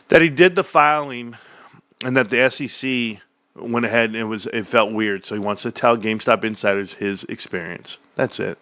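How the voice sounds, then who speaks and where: A man's voice: medium pitch, fairly bright tone, no echo. A young man hosting a stock commentary stream, ranting in a combative, sarcastic way as he reads out messages and posts. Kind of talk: heated casual rant.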